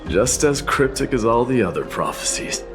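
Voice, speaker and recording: An actor playing a proud, resolute warrior prince and demigod in a video game, speaking formally and firmly. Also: male voice, medium pitch, animated, moderate pace, close to an everyday voice. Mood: wry. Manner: formal, solemn, boldly declarative. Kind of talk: dramatic video game voice acting. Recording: loud music behind the voice. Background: game music.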